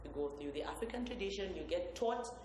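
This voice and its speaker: Fast-talking female co-host, echoey room. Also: fairly low pitch, lively intonation, darkish tone. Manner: quick, probing questioner, firm opinions. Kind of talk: panel discussion. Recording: echoey roomy hall with faint noise.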